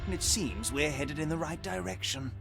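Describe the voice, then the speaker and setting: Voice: mid-range voice, expressive, normal speed, vocal fry. A sly game spirit of darkness, smug and theatrical. The voice swoops widely as it taunts, sounding like a mischievous inner voice. Music plays underneath. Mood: smug, taunting. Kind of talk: scripted video game character acting.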